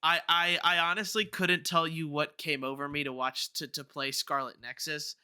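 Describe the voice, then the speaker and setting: A man with a very bright, forward voice and crisp, clean tone co-hosts a casual podcast. He sits a little high in pitch and often repeats words as he works out his thoughts. The sound is close and dry. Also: flat delivery, no room sound. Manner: halting, repeats words while thinking aloud. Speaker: podcast co-host. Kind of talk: casual chat.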